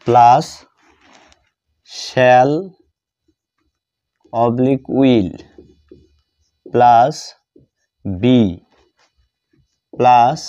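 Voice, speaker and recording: A male teacher whose first language is Bengali explains a lesson step by step. His voice is mellow and medium-pitched, and he goes slowly, repeating terms as if writing them out. The recording sounds slightly dull and lo-fi. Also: clean tone. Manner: deliberate, repetitive, dictating term by term. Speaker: English grammar teacher.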